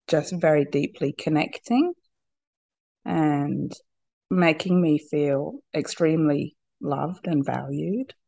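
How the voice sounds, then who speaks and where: A woman with a cold and a husky voice, talking candidly as a podcast guest, laughing often and restarting her sentences. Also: low voice, dark tone, no echo. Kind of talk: candid personal conversation.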